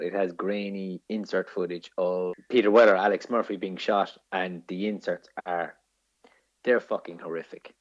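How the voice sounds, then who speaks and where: An Irish man co-hosting a casual film podcast, talking like an enthusiastic, sweary film geek explaining things. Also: deep voice. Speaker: podcast co-host. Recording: dull lo-fi podcast audio.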